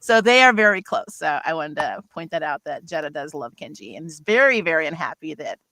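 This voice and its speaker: A woman's voice: sits low, very animated, brisk, pushed and bright, no echo, low-quality recording. A woman hosting a cast talk, chatting casually in a bubbly, joking manner. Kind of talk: casual chat.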